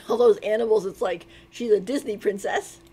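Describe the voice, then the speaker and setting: A woman's voice: mid-range voice, fairly even intonation, normal speed, crowd noise. A woman giving casual, musing live commentary as she streams a video game.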